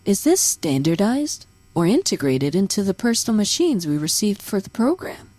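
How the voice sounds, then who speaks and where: A woman acting as a sharp young space trainee in an audio drama, with a mellow, dark voice. She questions directly and businesslike, with lively pitch, recorded close and dry. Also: normal speed, dry recording. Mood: brisk, probing.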